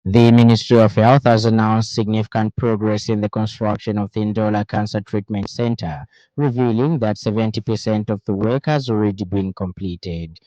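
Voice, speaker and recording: A Zambian man presents the radio news from a script. His voice is low and mellow, and he keeps a formal, measured delivery. The studio sound is close and dry. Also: fairly even intonation, no room sound, rough recording. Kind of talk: scripted news bulletin reading.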